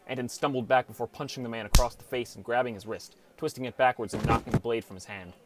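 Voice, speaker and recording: A man's voice: mid-range voice, fairly even intonation, quick pace, heavy background noise. A man reading a scripted first-person story as an audiobook narrator.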